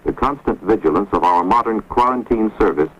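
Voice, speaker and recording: A man giving measured, grave scripted narration for an instructional film, recorded on a dull, lo-fi 1950s film soundtrack. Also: on the low side, lively intonation, husky. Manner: measured, grave, authoritative delivery.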